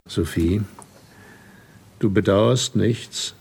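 An actor playing an aging, gentle and learned husband in a radio drama, grave and measured, with a husky voice. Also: male voice, on the low side, exaggerated voice. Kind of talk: literary radio drama acting.

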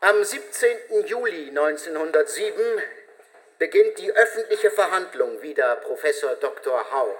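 Casting: male narrator, slightly high voice, factual scripted delivery for radio drama, very roomy reverberant sound. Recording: echoing room with long reverb.

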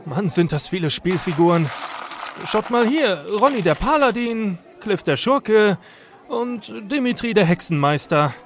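Man acting as a curious young gamer, high voice, slow drawl, animated. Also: a faint crowd. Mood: amused. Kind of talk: amateur comedy audio drama.

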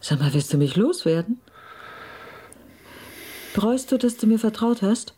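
A woman's voice: medium pitch, animated, measured pace, warm tone, a little hiss or hum, natural voice. An actress in a radio drama playing a determined, anxious woman with an insistent, emotional delivery. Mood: pleading, stubborn. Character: Martha, urgent, determined, anxious woman investigator. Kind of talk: German radio drama acting.

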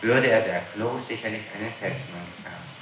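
A man's voice: on the low side, a little fast, muffled recording. A man speaking as a public prosecutor in a formal interrogation, methodically and insistently laying out witness testimony. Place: reverberant room, archive tape recording. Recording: noisy, echoey 1960s interrogation tape.

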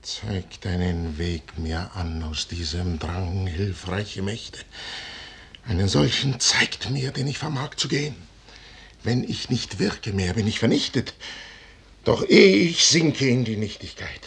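An actor playing a proud, brooding army commander in a 1950s German radio drama, declaiming gravely and deliberately with a gravelly voice. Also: male voice, fairly low pitch, animated, fairly bright tone, full character voice. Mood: torn, brooding, then defiant, anguished. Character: proud, brooding male army commander torn before a fateful decision.

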